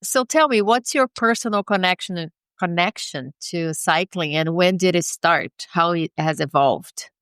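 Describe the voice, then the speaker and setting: A woman in her forties hosting a podcast, introducing a guest in a measured, upbeat way and asking him questions. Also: lowish voice, a bit bright, clean tone, no room sound. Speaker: podcast host. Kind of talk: read sponsor ads and scripted intro, then interview.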